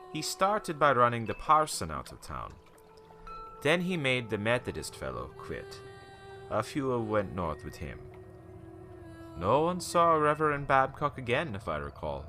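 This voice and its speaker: A haunted old shopkeeper in a radio drama, slow and halting, with a forceful edge. His low voice swings theatrically as he spins a bitter, ominous tale. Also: full character voice. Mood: grim, knowing. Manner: halting, theatrical storytelling with rhetorical questions. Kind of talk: dramatic radio play monologue.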